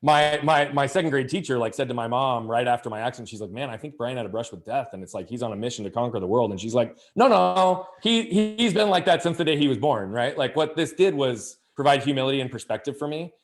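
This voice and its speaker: Candid, energetic man telling his story. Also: mid-range voice, fast talker, few pauses. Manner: candid, energetic, frequent restarts and "like". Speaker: podcast guest, speaker and coach. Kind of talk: conversational interview storytelling.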